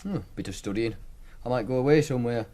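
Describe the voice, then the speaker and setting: An actor playing a cocky young student with a Northern English accent, wry and casual, in naturalistic radio drama. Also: male voice, medium pitch, fast talker, dark tone, lightly acted. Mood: guarded, wry. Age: young adult. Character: Mark, cocky young student with a betting knack.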